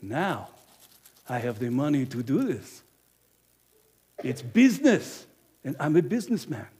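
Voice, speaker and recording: A man playing a businesslike village innkeeper in an animated first-person monologue, emphatic and speaking straight to the audience. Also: medium pitch, measured pace, breathy edge. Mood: self-justifying. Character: businesslike male village innkeeper, proud then guilty.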